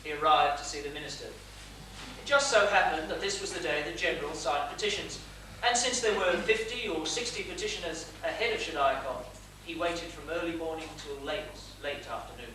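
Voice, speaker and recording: A man playing a mock-solemn storyteller, delivering florid, deliberate comic narration from a theatre stage. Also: fairly high pitch, pushed and bright. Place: small theatre stage, far from mic. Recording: distant, echoey, noisy theatre stage recording.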